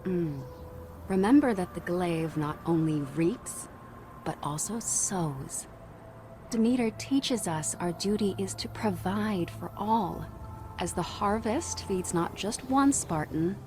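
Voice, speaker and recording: An actress playing a weary, dutiful Spartan priestess in a video game, speaking in a measured, reflective way. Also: female voice, fairly low pitch, lively intonation. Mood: solemn teaching. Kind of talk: cinematic video game acting. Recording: music behind the voice.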